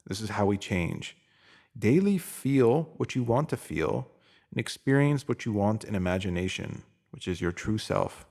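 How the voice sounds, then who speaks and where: A man's voice: mid-range voice, darkish tone. A man narrating a scripted self-help piece, reading it out as a motivational message.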